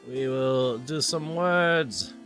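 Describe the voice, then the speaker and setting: Bright-voiced man chatting on a livestream. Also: mid-range voice, very slow, soft background music. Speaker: streamer voicing a game. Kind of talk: casual livestream chat.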